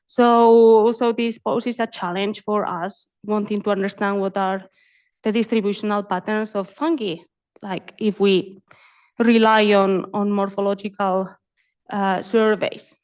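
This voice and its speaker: A woman's voice: medium pitch, fairly even intonation, a little slow, a little mellow, clear, resonant tone, highs cut off. A woman presenting research at a conference, speaking as an academic and often repeating words as she goes. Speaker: invited academic speaker, community ecologist. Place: symposium talk.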